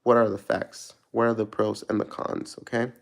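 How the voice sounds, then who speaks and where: Guy from mexico reading tarot for viewers, gravelly voice, rambly and laid back. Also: fairly low pitch, normal speed. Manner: rambling, searching for words, many restarts; relaxed, laughs at himself. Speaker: tarot reader answering viewer questions. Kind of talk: casual live-chat tarot reading.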